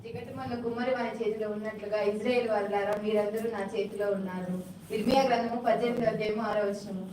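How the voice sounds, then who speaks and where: A woman's voice: mid-range voice, steady tone, fast talker. A young woman, a student, speaking in the manner of a memorised recitation. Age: young adult. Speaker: student reciting Bible verses. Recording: echoey hall, distant, noisy.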